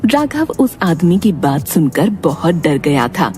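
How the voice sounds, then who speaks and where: A woman's voice: low voice, big pitch swings, slow, mellow. A woman narrator reading a scripted children's cartoon story in a dramatic style. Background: music.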